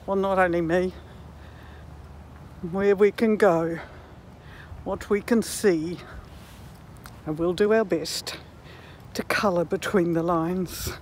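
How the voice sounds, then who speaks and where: A woman in her late sixties talks slowly and reflectively, musing aloud with halting pauses. She is vlogging on a walk outdoors, with faint ambient and vehicle noise behind her. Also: lowish voice, steady tone, a little mellow. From Netherlands and New Zealand. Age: 60s. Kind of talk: reflective walking vlog monologue. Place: outdoors, walking in a park.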